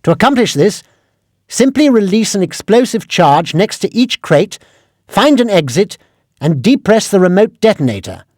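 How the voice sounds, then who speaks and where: An actor playing an exasperated older British gadget quartermaster in a video game, formal, precise and scolding. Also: male voice, sits high, big pitch swings, normal speed, a little mellow, no echo, clean studio sound. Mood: officious briefing. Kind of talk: video game mission briefing.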